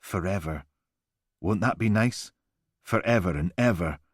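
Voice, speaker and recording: A man voicing a menacing male killer who speaks low and hushed, heard as a distant recording. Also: a little slow, slightly rough, no room sound, studio quality. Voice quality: hushed, creepy.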